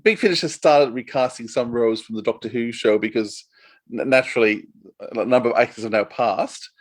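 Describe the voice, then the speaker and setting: A man's voice: medium pitch, brisk, no room sound. A man hosting a casual podcast interview, asking questions in a conversational, inquisitive way.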